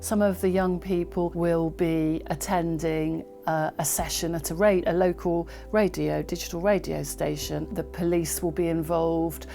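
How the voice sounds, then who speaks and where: Female youth worker, interview style, notably deep register, soft music underneath. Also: steady tone, unhurried, gravelly, few pauses. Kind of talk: explanatory interview talk.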